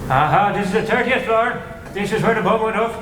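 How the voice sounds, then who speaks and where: A man's voice: high voice, a little fast, a bit bright, a little hiss or hum. A man playing a police officer in a live radio drama, giving a matter-of-fact report. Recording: echoey hall from far off.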